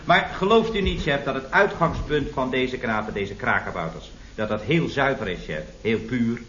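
A man's voice: medium pitch, expressive, moderate pace, fairly bright tone, a little hiss or hum, natural voice. A man playing an eager, earnest young employee who preaches wordily yet deferentially in a vintage radio comedy. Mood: earnest pleading. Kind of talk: 1970s Dutch radio comedy acting. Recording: roomy, dull vintage radio recording.

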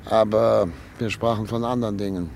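An actor playing a 39-year-old professor and chief physician in a period radio drama, speaking in a wistful, reflective, quietly anxious way. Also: male voice, lowish voice, fairly even intonation, moderate pace, vocal fry, a little hiss or hum. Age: 30s. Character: 39-year-old doctor, proud yet uneasy.

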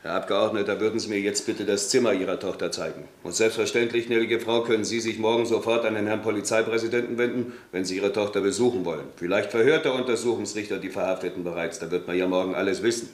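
Actor as a brisk police detective, echoey room. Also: male voice, fairly low pitch, fairly even intonation, close to an everyday voice. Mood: coolly polite. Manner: clipped, official, courteous. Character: brisk, formally polite male police detective, officious. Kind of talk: German radio drama acting.

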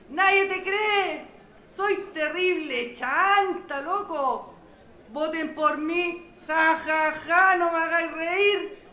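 Mocking Chilean shantytown local female actor, sharp piercing high voice, slow taunts, echoey space. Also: exaggerated voice. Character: scornful, mocking shantytown heckler. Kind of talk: amateur audio drama.

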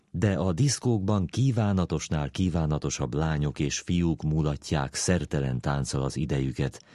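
A man reading a literary essay aloud in a deliberate, dryly ironic manner. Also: sits low, warm tone, no echo, clean studio sound.

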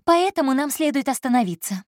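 A woman's voice: high voice, lively intonation, brisk, no echo, clean studio sound, voice pushed up. A woman voicing a proud, stubborn young woman who answers her employer with defiance and cutting emotion. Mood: cold.

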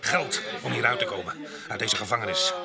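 A male actor playing a rough, bitter grape picker with a violent past in a Dutch radio drama, gruff, cursing and sardonic. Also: mid-range voice, very animated, fairly quick. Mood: bitter, angry. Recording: busy crowd noise behind.

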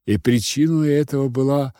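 A man's voice: mid-range voice, normal speed, mellow, no echo, clean studio sound. A man reading literary fiction as scripted audiobook narration.

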